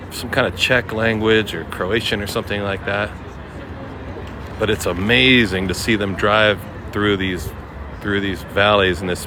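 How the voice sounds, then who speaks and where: A fairly low-voiced man rambles easily through a live stream, greeting viewers by name as he walks along a city waterfront at sundown. His phone mic catches loud city noise all around him, with traffic, trains and boats going by. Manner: rambling, chatty, reads out viewer names. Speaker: livestream host. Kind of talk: casual livestream chat while walking.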